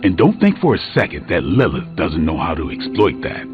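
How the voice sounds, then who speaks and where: A man's voice: low-pitched, huge pitch swings, normal speed. An actor playing a hard, unsentimental male warrior in a video game. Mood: stern warning. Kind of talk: video game character dialogue. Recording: muffled lo-fi audio, music behind.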